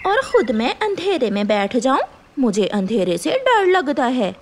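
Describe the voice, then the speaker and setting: Woman putting on a selfish, high-voiced lady crow. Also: sing-song, measured pace, slight background noise. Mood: dismissive. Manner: dismissive, indignant. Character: selfish, heartless female crow, grumpy neighbour.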